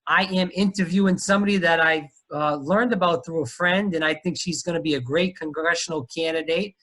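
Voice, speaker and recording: A man's voice: on the high side, fairly quick, fairly bright tone, poor audio. A man from Rhode Island with a Rhode Island accent, hosting a casual interview and talking in a chatty way with lots of fillers. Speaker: podcast host.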